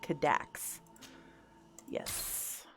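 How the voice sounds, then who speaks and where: A woman's voice: extremely slow, a bit bright, faint music behind. A woman doing casual playthrough commentary, thinking aloud and asking herself questions as she goes. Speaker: gameplay host.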